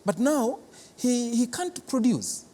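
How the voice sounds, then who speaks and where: A man's voice: very high-pitched, big pitch swings, takes it slowly, mellow. A man with a Kenyan accent giving unscripted interview answers as a cultural centre guest, earnest and explanatory, often repeating words. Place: large reverberant theatre building. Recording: echoey hall, clear background noise.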